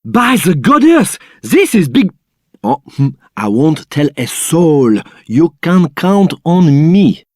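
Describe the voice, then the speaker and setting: A man's voice: fairly high pitch, very animated, slow, a little mellow, dry recording, studio quality, exaggerated voice. A man playing a nosy, easily shocked barman in a video game, with a theatrical, gossip-hungry manner. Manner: theatrical, gasping, eager for gossip. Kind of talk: video game dialogue acting.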